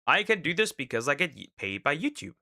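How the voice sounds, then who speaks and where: A man's voice: a little high, sing-song, quick pace, gravelly, sharp tone, dry recording, studio quality. A man casually reacting to a video, talking in a laid-back, repetitive way and often asking "right?". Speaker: VTuber host reacting to a video.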